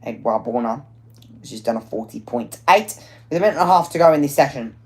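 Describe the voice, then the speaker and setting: A woman giving live commentary on a motorsport qualifying session, chatty and quick to correct herself, with plenty of fillers along the way. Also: rumbling low, animated, darkish tone.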